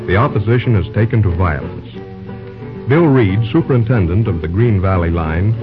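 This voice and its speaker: Over music on a dull old radio recording, a low-pitched man narrates. He has the dramatic, scripted delivery of a vintage serial announcer.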